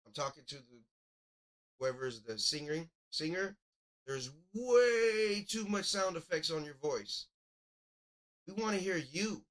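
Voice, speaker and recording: A man's voice: on the high side, animated, measured pace, pauses often, a bit bright. A man hosting a casual live music review, giving blunt, critical commentary. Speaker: host reviewing submitted music.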